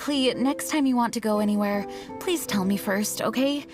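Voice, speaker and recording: A composed, caring young knight commander played by a woman with a fairly high voice. She delivers video game dialogue with music clearly audible behind her. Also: moderate pace, close to an everyday voice. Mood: concerned, gentle.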